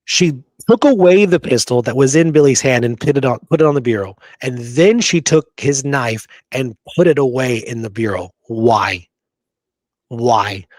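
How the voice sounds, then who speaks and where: A man with a mid-pitched voice hosts a history talk in a conversational style. He is emphatic and opinionated, often circling back to repeat a point. The recording is dry, with very little room sound. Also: moderate pace, a little mellow, no room sound. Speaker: podcast host explaining frontier history.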